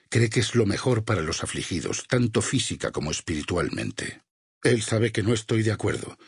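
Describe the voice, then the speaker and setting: A solemn warrior preacher in a video game, devout and unbending. His low voice is heavily gravelled and breathy, with a Castilian Spanish accent. He persuades like a sermon, measured yet with wide swings of intonation. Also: no room sound, studio quality, heavily acted. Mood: earnest, persuasive. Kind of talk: dramatic video game dialogue.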